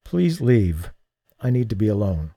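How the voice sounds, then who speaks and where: A man voicing a young woman left blinded and burned, speaking softly with a strained, halting manner in an audiobook. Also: lowish voice, big pitch swings, dry recording, studio quality, exaggerated voice. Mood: hurt. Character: injured young woman, blinded, fragile and shaken.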